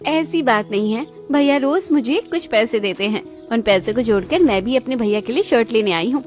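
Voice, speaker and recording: A woman voicing a gentle, loyal young sister from a poor family in a cartoon, speaking earnestly and plainly. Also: on the high side, expressive, normal speed, faint music behind, natural voice. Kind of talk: cartoon moral-story dialogue in Hindi.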